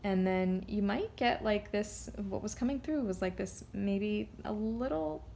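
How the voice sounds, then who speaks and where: A woman giving a casual, unscripted card reading, rambling and halting as she drifts off on tangents. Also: mid-range voice, gravelly, dark tone, a little hiss or hum. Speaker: tarot card reader.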